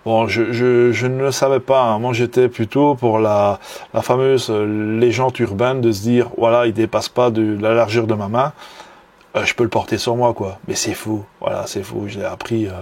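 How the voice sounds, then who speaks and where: A man's voice: fairly low pitch, little pitch movement, normal speed, darkish tone. A man from Belgium with a Belgian French accent, showing off a knife in a casual video review, full of fillers and restarts and admiring enthusiasm. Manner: hesitant, many fillers and restarts. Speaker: knife hobbyist vlogger.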